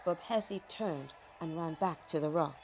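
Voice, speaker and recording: A woman narrating a children's folk tale from a script in a dramatic, expressive storytelling manner. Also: sits low, unhurried, soft background music.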